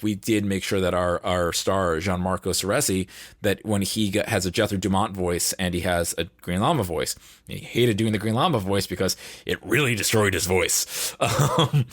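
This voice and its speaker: A man with a fairly low voice, recorded close and dry. He chats casually as a podcast guest, wandering through his thoughts as he goes. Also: dry recording. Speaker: podcast guest, audio drama producer. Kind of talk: casual chat.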